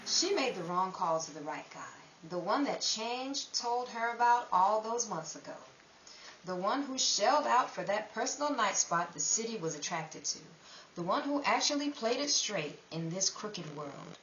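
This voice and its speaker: A woman's voice: mid-range voice, lively intonation, normal speed, a bit bright, natural voice. A woman playing a world-weary, streetwise narrator of a 1930s underworld tale, in dramatic old-time radio style. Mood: somber. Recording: echoey, distant mic, dull, faint hiss.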